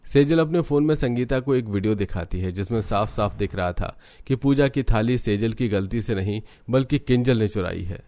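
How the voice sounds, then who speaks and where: A man's voice: fairly low pitch, animated, moderate pace, hardly any pauses, mellow, no room sound. A man reading scripted narration for a family drama cartoon. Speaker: story narrator.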